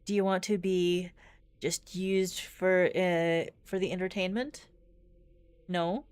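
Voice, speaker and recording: A woman's voice: lowish voice, steady tone, takes it slowly, darkish tone, clean tone, dry recording. A woman streaming a visual novel, moving between casual, rambling commentary and dramatic read-aloud narration.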